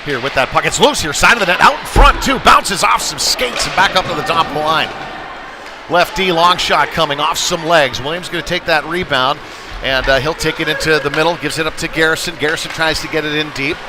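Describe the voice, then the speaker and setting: A man's voice: on the high side, a little fast, background noise. A man calling live hockey play-by-play in clipped present-tense phrases that build with the action. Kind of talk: live sports commentary.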